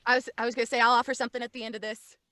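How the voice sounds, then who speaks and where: A woman's voice: on the high side, level tone, very fast, bright, forceful tone, no echo. A woman in her thirties chatting casually into a studio mic at home on a video call. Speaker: voice actor and podcast cast member. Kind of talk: casual chat. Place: small dark home studio. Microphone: close studio mic on a video call.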